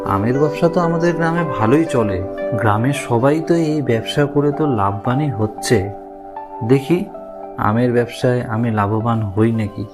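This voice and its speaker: A man's voice: mid-range voice, moderate pace, lightly acted. A man playing a poor but hopeful village farmer in a cartoon, speaking in an earnest, mild way. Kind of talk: cartoon character dialogue. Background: music.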